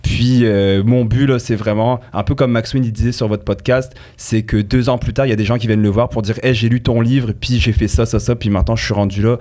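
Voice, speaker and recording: A man's voice: mid-range voice, steady tone, fairly quick, hardly any pauses, darkish tone. A man in his thirties with a French accent, a guest chatting casually on a podcast. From France. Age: 30s. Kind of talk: casual chat.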